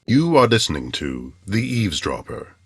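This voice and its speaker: A man's voice: deep voice, big pitch swings, normal speed, breathy edge, dry recording, clean studio sound. A man announcing a thriller in 1950s radio style, with a dramatic, formal and suspenseful delivery. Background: loud music.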